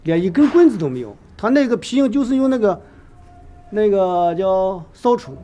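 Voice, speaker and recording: A man talks colloquially, off the cuff. His voice is high yet soft in tone, with very animated pitch. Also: moderate pace. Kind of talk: colloquial unscripted talk.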